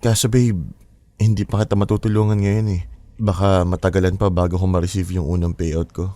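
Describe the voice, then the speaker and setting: A young boyfriend acted by a man in a radio drama, affectionate but hesitant and apologetic. The voice is deep and warm, recorded close and dry. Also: level tone, a little fast, no room sound, close to an everyday voice. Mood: worried. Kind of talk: Filipino radio drama acting.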